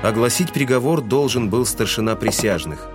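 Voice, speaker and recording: A man's voice: mid-range voice, moderate pace, close to an everyday voice. A man narrating a literary audio drama in a scripted, storytelling style. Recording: music under the voice.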